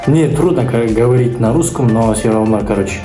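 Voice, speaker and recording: Young man, halting vlog chat, mid-range voice, clear music underneath. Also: mid-range voice. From Kazakhstan. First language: Kazakh. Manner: halting, searching for words, many fillers. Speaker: vlogger. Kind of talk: casual vlog talk.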